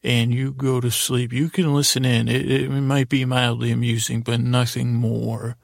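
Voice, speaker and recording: A man with a heavily creaky, gravelly voice tells a rambling, improvised bedtime story. His pitch barely moves as he meanders, doubles back and trails off. The recording is very dry and close. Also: level tone, no echo. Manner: meandering, halting, repeats and trails off. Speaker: podcast host.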